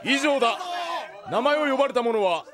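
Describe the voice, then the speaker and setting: An actor playing a stern, irritable mercenary captain and examiner with a commanding bark, in an anime audio drama. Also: male voice, very high-pitched, animated, normal speed, a bit bright, some chatter behind. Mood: loud, commanding. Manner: commanding, barking.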